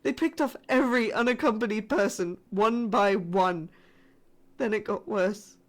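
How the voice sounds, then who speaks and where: A woman playing a frightened spaceship crew survivor sending a distress message in a scripted sci-fi horror audio drama, formal but shaken and halting. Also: medium pitch, natural voice. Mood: shaken, horrified. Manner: formal reporting that breaks into shaken, halting, emotional delivery.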